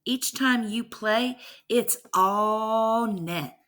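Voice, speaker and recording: A woman's voice: a little high, very slow, a bit bright. A woman voicing an affectionate, teasing mother in a storybook reading, with emphatic delivery.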